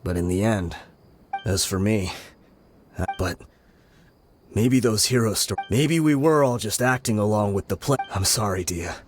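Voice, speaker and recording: A male actor as a world-weary mercenary leader in a video game. His voice is low and measured, earnest and heavy with remorse. Soft music runs underneath. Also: lightly acted. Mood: regretful. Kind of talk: dramatic video game storytelling.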